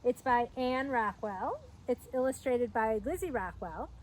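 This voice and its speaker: A woman's voice: fairly high pitch, animated, a little slow, poor audio. A middle-aged woman reads a picture book aloud to young children outdoors, adding simple explanatory asides. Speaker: storytime reader, parks staff. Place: outdoors at an open trailhead by a fence. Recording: outdoor recording with clear background noise.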